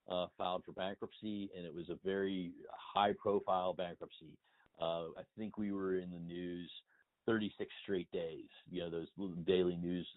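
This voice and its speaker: Middle-aged male interview guest, low voice, emphatic and wordy, thin phone-line sound. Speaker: interview guest, industry consultant and blogger. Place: remote phone call.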